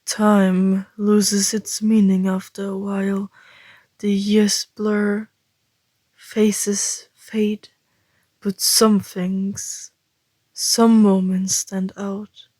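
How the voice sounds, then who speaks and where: Woman playing a very slow, whispering ancient vampire. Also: mid-range voice, dark tone, dry recording, rough recording. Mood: teasing, wistful. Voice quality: breathy, often dropping into whisper. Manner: slow, deliberate, teasing, with long pauses. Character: ancient teasing vampire, calm, mysterious, flirtatious. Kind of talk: ASMR roleplay, intimate scripted monologue.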